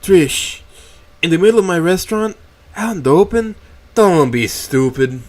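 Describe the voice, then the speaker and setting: A man voicing a jolly, blustering male Tyrannosaurus boss with a New York accent, loud and dismissive, in a read-aloud story. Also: high-pitched, expressive, moderate pace, voice pushed up. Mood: stern. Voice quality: loud, bellowing. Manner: casual, dismissive. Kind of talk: reading a fan story aloud.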